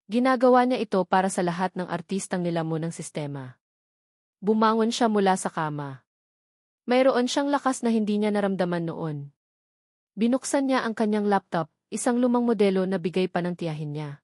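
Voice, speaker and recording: A woman with a Filipino accent narrates a scripted romantic drama. Her voice sits at a medium pitch for a woman, and the sound is dry, with hardly any room in it. She delivers it as storytelling, in full written sentences. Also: darkish tone, no echo.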